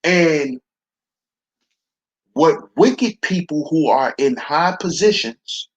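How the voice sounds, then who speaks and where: Slow, husky baritone man preaching. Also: animated, highs cut off. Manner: preachy, addresses listeners as brothers, repeats "see". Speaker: commentary channel host. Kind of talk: slow commentary monologue to viewers.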